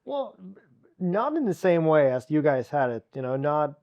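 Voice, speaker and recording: Mellow Swedish-accented man telling stories. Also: a little high, very animated, normal speed. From Sweden. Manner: talks with many restarts and "you know" fillers. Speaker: guest. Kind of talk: relaxed conversational storytelling.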